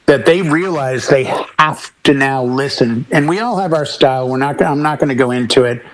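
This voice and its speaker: A man's voice: mid-range voice, moderate pace, rough recording. A middle-aged man chatting casually on a panel as a DJ, speaking emphatically and repeating words to drive points home. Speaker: talk show panelist, professional DJ.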